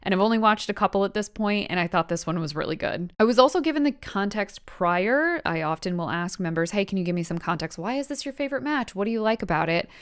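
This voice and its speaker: A woman in her thirties with a clean, smooth voice at an average pitch. She speaks as a video host, casually addressing viewers in a lightly scripted way, with a wry and playful, self-deprecating manner. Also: animated, quick pace, hardly any pauses. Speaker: YouTube host reviewing wrestling matches.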